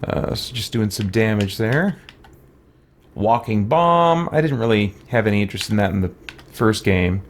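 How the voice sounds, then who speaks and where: A man's voice: lowish voice, animated, moderate pace, a little hiss or hum. A man giving casual let's play commentary, muttering to himself as he reads game text aloud and mulls it over.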